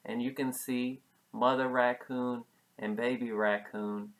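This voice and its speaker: A man's voice: mid-range voice, fairly even intonation, slow pace, a bit bright, rough recording. A man reading a storybook aloud as a preschool teacher, patiently explaining it and repeating key words. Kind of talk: children's storybook read-aloud with simple explanations.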